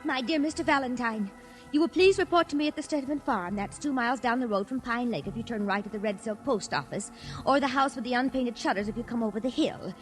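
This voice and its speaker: A woman's voice: on the high side, fast talker, music in the background, lightly acted. An actress playing a fussy, insistent, anxious American countrywoman reading out a letter in 1940s radio style. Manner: fussy, emphatic.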